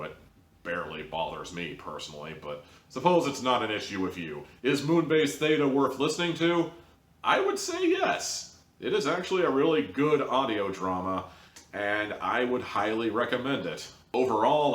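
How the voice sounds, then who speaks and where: A man's voice: medium pitch, a bit bright. A man giving a casual, opinionated review as a show host, blunt and conversational, rambling off into asides. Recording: roomy, echoing home recording.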